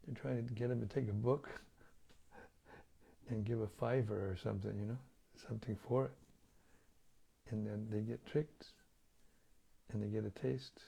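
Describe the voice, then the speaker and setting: A hoarse man losing his voice, hosting a daily scripture reading in a relaxed, chatty way over an online video stream. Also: lowish voice, unhurried, pauses often. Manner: relaxed, chatty, with light chuckles. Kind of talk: casual talk and Q&A on scripture.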